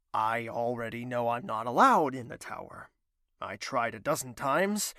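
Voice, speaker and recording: A man's voice: medium pitch, bright, forceful tone, dry recording, clean studio sound. A man playing a lovesick, stubborn young prince in an audio drama, earnest, formal and melodramatic. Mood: determined.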